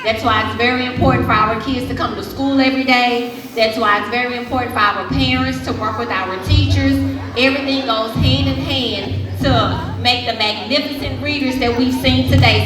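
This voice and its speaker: A woman hosting a school event, addressing pupils and parents in an encouraging, praising manner. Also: high voice. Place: large echoey indoor room at a school event. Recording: echoey hall, distant mic, crowd noise. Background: crowd and room noise.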